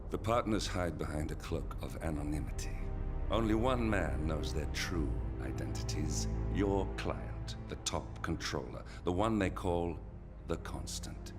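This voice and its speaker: A very deep-voiced, determined fugitive rebel speaks gravely and deliberately, as in a cinematic game cutscene. Prominent music plays behind him. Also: male voice, lively intonation. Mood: conspiratorial, intent. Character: grim, determined rebel fugitive man with a vendetta. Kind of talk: cinematic video game acting.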